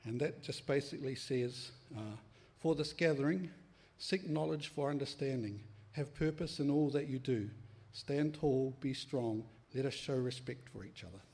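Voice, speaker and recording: A middle-aged man from New Zealand speaks with a New Zealand accent, his voice gravelly and edged with fry. He presents a conference workshop in an easy, unhurried manner, often pausing on "um" and "uh". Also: mid-range voice. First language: Māori. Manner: relaxed, friendly, with many ums and uhs. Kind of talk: conference talk.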